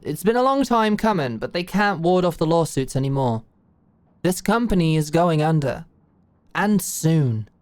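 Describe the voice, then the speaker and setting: A woman's voice: deep voice, sing-song, normal speed, darkish tone, dry recording. A woman playing a blunt, shrewd older British executive in an audio roleplay, talking in a brash, slangy, commanding way. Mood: frank, confiding. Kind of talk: scripted audio roleplay monologue.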